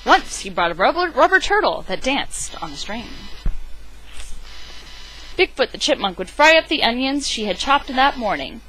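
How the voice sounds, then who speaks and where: A woman's voice: a little high, big pitch swings, normal speed, bright, forceful tone, soft background music, rough recording. A woman giving a theatrical, emphatic read-aloud of a children's picture book, as an amateur storyteller on a webcam microphone.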